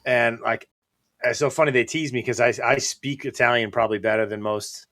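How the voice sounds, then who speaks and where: A man hosting a casual podcast conversation, his middle-pitched voice carrying a bright, forceful tone. He talks in an easy, friendly way. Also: steady tone, fairly quick. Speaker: podcast host.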